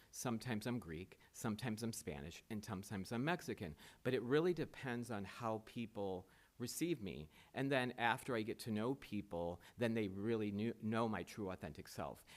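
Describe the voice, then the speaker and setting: A man's voice: on the low side, fairly bright tone. A man interviewing for a superintendent job, answering in an earnest, rambling way with many fillers and restarts. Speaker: superintendent candidate. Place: school board meeting room.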